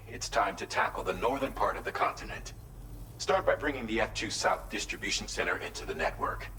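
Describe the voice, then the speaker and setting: A man playing a composed mission coordinator in a video game, giving a formal, measured briefing. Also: lowish voice, pushed and bright. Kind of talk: scripted game dialogue, briefing. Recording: roomy echo, distant mic, faint noise.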